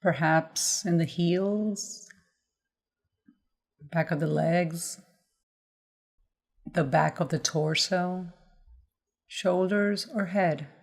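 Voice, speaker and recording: A woman guides a mindfulness body scan at a very slow pace. Her voice sits a little low for a woman. She gives gentle instructions in measured phrases, leaving pauses between them. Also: gravelly.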